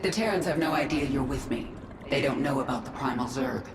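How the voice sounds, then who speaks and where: A woman's voice: very deep voice, brisk, background noise. A woman playing a cold, vengeful alien queen in a video game, giving clipped, authoritative orders with resolute force. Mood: commanding. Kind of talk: video game cinematic acting. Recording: echoey, loud music behind.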